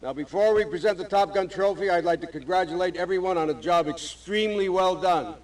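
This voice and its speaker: A man playing a brisk, authoritative Navy commanding officer giving clipped briefings in a video game. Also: sits high, natural voice. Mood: formal, proud. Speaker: actor in a video game cutscene. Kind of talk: military briefing dialogue.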